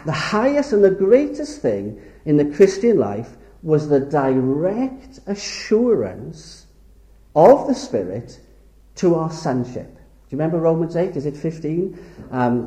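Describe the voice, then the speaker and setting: A man's voice: on the high side, warm tone. Emphatic male preacher in an echoey hall. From Wales. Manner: earnest, emphatic, lingers on key words. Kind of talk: sermon lecture.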